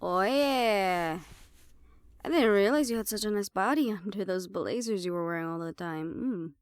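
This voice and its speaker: A woman's voice: mid-range voice, moderate pace, clear, resonant tone, dry recording, lightly acted. Sassy siren hybrid woman, close dry sound, acted. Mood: teasing, flirty. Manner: sassy, sweary, conversational, slipping into Spanish. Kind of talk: roleplay audio drama acting.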